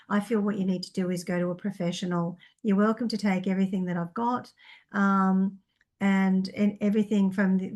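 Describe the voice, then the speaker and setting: A woman reads out questions from viewers and answers them as a psychic. She talks tentatively and thinks aloud, with frequent ums and half-finished starts. Her voice sits at an average pitch. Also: darkish tone. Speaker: psychic reader.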